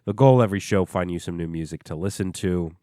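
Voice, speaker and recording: A man hosting a music podcast in a relaxed, chatty way, with a stuffed-up voice from a cold and plenty of filler words. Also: sits low, fast, no echo, clean studio sound. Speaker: podcast host. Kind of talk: casual music show chat.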